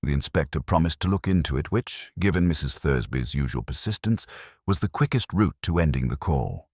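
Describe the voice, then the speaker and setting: Very deep-voiced male story narrator. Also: animated, a little mellow, breathy edge, no room sound, studio quality. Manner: measured, formal, dryly wry. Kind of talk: scripted story narration, audiobook-like.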